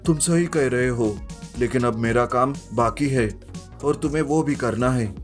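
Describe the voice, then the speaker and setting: A man's voice: medium pitch, measured pace, close to an everyday voice. Man acting an earnest, polite young heir. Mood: grateful. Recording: music behind the voice.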